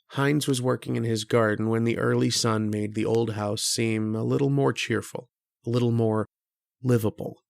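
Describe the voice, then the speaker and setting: An actor playing a polite, curious student lodger who tells a ghost tale in old-time radio horror style. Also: male voice, medium pitch, vocal fry, no echo, clean studio sound, natural voice. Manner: hesitant in dialogue, brooding and measured in narration.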